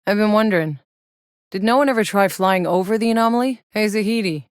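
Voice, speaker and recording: A woman's voice: mid-range voice, a little fast, clean tone, dry recording, clean studio sound, close to an everyday voice. An actress playing a tough, sardonic, battle-hardened soldier in a sci-fi video game, blunt and wry in her delivery. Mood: focused, curious. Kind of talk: scripted video game character voice lines.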